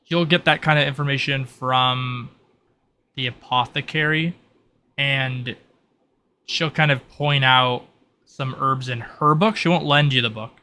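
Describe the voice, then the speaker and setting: A man's voice: mid-range voice, unhurried, bright, forceful tone, slight background noise. A man casually explaining the rules and world of a tabletop game as its game master.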